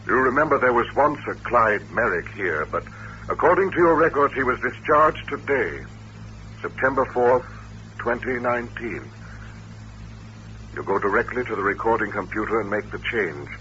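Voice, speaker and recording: A coolly commanding young mind-controller. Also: male voice, mid-range voice. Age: young adult. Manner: calm, deliberate, commanding. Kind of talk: old-time radio drama acting. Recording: dull old radio recording, faint hiss.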